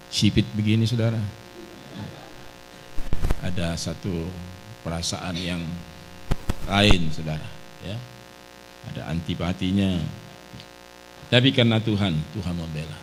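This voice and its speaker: Deep-voiced male pastor giving testimony. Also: low-quality recording. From Medan, Indonesia. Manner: repeats "ya" and "saudara" between phrases. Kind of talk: sermon testimony. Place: church sanctuary. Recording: faint mains hum from live stream.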